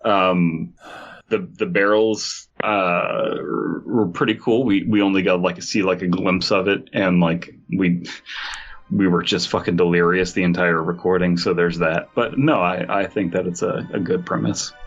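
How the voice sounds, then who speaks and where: A man co-hosting a podcast, talking haltingly with many fillers and deadpan jokes. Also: sits low, muffled recording. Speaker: podcast co-host. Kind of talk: casual chat.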